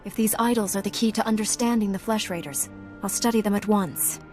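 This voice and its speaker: Actress as confident young hero woman in a video game, normal pitch, faint music behind. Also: steady tone, brisk, a little mellow, close to an everyday voice. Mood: self-assured. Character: confident young woman warrior apprentice, calm and eager.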